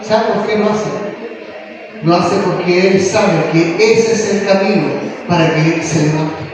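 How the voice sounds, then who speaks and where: A man's voice: sits high, steady tone, a little slow, a crowd in the background. A male pastor preaching a sermon in a church, full of rhetorical questions and rising to impassioned calls. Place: church hall. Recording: echoey hall from far off.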